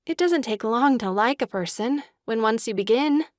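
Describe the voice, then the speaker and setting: Woman acting a shy sweet young daughter in a period audio drama, highish soft voice, earnest, very dry close sound. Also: expressive, normal speed, dry recording, natural voice. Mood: gentle, sincere. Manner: soft, timid, sincere. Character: gentle, timid, earnest young daughter, simple and sincere.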